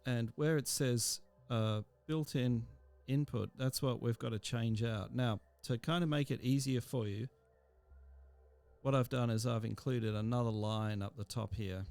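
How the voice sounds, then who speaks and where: A man giving an informal software tutorial as an instructor, explaining step by step in a halting way with pauses mid-sentence. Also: medium pitch, steady tone, creaky.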